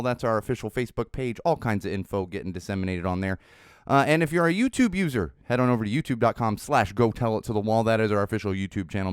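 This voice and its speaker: A middle-aged man hosts his own podcast, his slightly raspy voice still recovering. He rambles casually with frequent uhs. The sound is smooth and dry, close on the mic at an ordinary pitch. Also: no room sound. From Southern California. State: recovering from lost voice. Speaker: podcast host. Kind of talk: solo podcast monologue.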